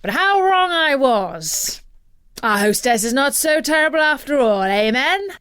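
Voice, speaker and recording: A woman's voice: high voice, very animated, unhurried, a bit bright, no room sound, clean studio sound, voice pushed up, exaggerated voice. A hearty, gullible lord of ancient Greece, acted out by a woman for a storybook. He declaims in a raised, swooping voice with plenty of exclamation. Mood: relieved, jovial. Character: hearty, gullible Greek lord, adult male sailor. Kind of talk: storybook character voice.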